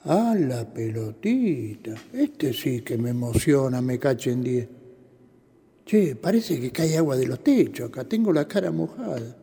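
An emotional Buenos Aires man in a radio play, with a husky voice that swings widely. He exclaims in folksy slang, wrapped in long reverb. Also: mid-range voice, sing-song, unhurried, dark tone, exaggerated voice. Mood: moved. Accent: Argentine, Buenos Aires. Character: colloquial, emotional porteño man reacting aloud to recorded messages. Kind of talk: radio drama acting.

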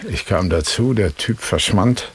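An actor playing an older, loyal and blunt Los Angeles cop in a radio drama, with a gravelly, husky voice and a wry conversational manner. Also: male voice, low-pitched, unhurried, exaggerated voice. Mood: confiding. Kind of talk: German radio drama acting.

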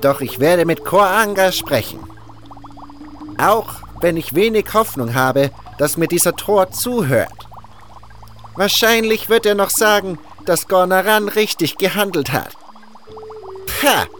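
An actor playing a haughty, fanatical cult guru and alchemist in an audio drama, hissing and drawing out words with contempt. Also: male voice, sits high, animated, slow, a bit bright, full character voice. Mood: bitter, scornful.

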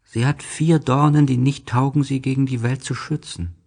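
A man voicing a small, gentle, frightened boy prince in an audio play, speaking in a halting, earnest, plaintive way. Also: mid-range voice, steady tone, creaky, pitched above the performer's own voice. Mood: earnest, fearful.

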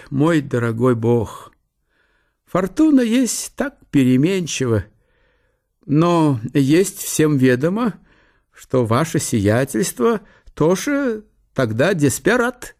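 An actor playing a deferential German general who speaks with a German accent in halting, stilted, very formal phrases. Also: male voice, on the high side, very animated, a little mellow, voice pushed up, full character voice. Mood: consoling.

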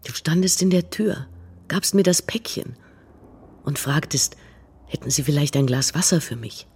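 An actor in a radio drama playing a wistful grown son in an intimate, halting, reflective monologue, hushed and husky. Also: male voice, a little high, background noise, lightly acted. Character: wistful grown son haunted by a brief meeting.

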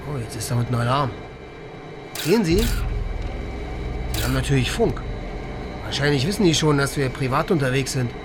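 An actor playing a cynical, sweary East German lieutenant and helicopter pilot in a naturalistic radio crime drama, agitated and sarcastic. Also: male voice, mid-range voice, animated, normal speed, pauses often, background noise, close to an everyday voice. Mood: tense, alert.

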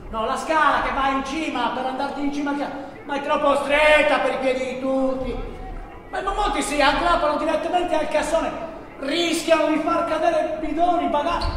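A man's voice: very high voice, a little hiss or hum, exaggerated voice. A man performing a traveller's vivid, dramatic narration in a theatre monologue. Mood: agitated. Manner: theatrical, swings from hushed slow phrases to agitated calls. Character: male traveller narrating a crowded desert truck journey, vivid, excitable. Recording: very echoey hall, distant, music behind. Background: music.

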